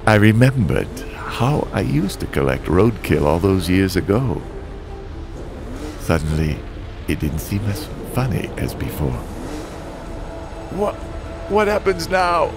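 A man narrating as a weary, guilt-ridden driver father, in a slow, brooding storytelling manner. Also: lowish voice, sing-song, full character voice. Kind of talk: narrated motion comic. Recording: over loud music score.

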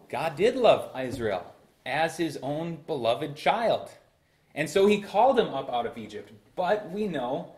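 A young man in his twenties preaching a sermon from a church pulpit, with bursts of emphasis and slow, drawn-out phrases. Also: medium pitch. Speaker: pastor. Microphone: far camera in a roomy church. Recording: echoey church room.

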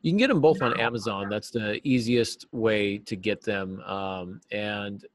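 Low-voiced American man, dry close sound. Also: unhurried, dry recording. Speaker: interview guest, novelist and writing teacher. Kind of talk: casual interview answers. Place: small cluttered office, on a video call. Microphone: video call.

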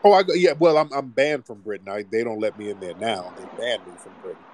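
A man's voice: on the low side, sing-song, fast, darkish tone. A man hosting a live call-in stream, teasing and mocking his callers in loose, rambling chat. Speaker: livestream host taking callers.

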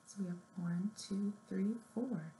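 A woman's voice: medium pitch, measured pace, warm tone. A woman in her thirties hosting a children's story time, giving simple, encouraging instructions to little kids. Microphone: webcam or laptop at arm's length. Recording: roomy echo, noticeable background noise, webcam sound.

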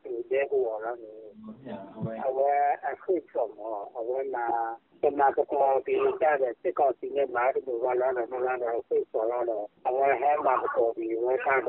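A man gives a spoken report as a news interviewee. His pitch is medium, and the sound is thin and band-limited like a phone line, with no echo.

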